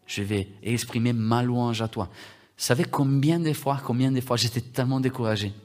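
A man from Italy, preaching a livestreamed sermon that repeats its phrases and builds from quiet talk to loud exhortation. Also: mid-range voice, moderate pace. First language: Italian.

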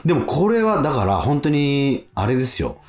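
A man co-hosting a radio show, telling anecdotes in a casual, animated storytelling way. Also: mid-range voice, normal speed. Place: radio studio.

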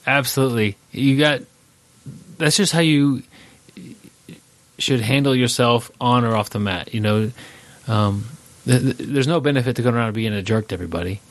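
A man hosting a casual podcast chat, nasal and congested from allergies, relaxed and laughing easily. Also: mid-range voice, moderate pace, long pauses, no room sound. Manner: relaxed, laughs often, filler-heavy. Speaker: podcast host.

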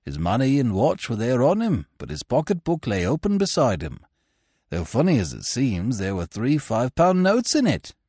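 A man's voice: medium pitch, huge pitch swings, moderate pace, darkish tone, breathy edge, no echo, studio quality. A man reading aloud voices a plain-spoken working man, deferential and rustic in his speech. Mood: earnest. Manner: deferential, rustic, says sir.

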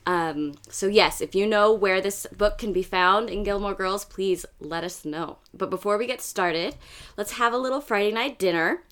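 A woman with a raspy, hoarse voice, hosting a casual book podcast and chatting loosely with friends, with many fillers. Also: mid-range voice, normal speed, a bit bright. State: hoarse after karaoke. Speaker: podcast host. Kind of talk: casual chat among friends.

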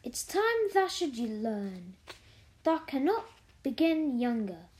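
A woman's voice: high voice, very animated, takes it slowly, darkish tone, heavily acted. A woman voicing a good-humoured, plain-spoken young housemaid who talks in broad Yorkshire dialect, for a storybook. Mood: frank, amused.

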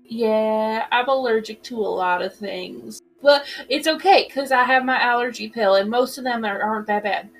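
A young woman playing in a tabletop game, chatting in an easygoing, rambling way. Her voice sits a little high, with faint music behind her. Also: normal speed, few pauses, rough recording. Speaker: player. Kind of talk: casual in-character roleplay.

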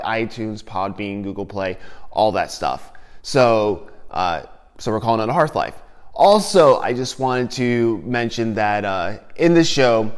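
A young man talks through a laid-back solo podcast recorded at home. He rambles a bit, restarts his sentences and leans on filler words. His voice sounds a little stuffy from a lingering head cold. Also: medium pitch. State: getting over a head cold. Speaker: podcast host. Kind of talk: casual solo podcast talk.

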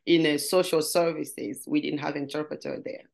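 A woman's voice: sits low, fairly even intonation, normal speed, gravelly, a little mellow. A middle-aged woman from Congo with a Congolese French accent, telling her story in an interview and searching earnestly for English words. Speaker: guest. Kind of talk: interview conversation.

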